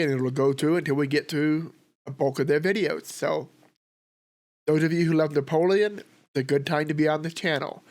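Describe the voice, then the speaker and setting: A man with a sore throat from a cold, hosting a history reaction with relaxed, rambling commentary. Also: fairly high pitch. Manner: relaxed, rambling, thinks aloud with fillers. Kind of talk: casual commentary.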